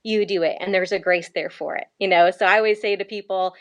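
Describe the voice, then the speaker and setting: A woman from Canada, a missionary guest, telling her story in an earnest, lively way and laughing often. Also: medium pitch, fast talker. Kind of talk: conversational faith interview.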